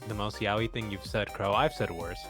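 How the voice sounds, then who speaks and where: A man's voice: fairly low pitch, normal speed, over music. A young man chatting casually on a stream, rambling with lots of fillers and restarts. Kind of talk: casual chat.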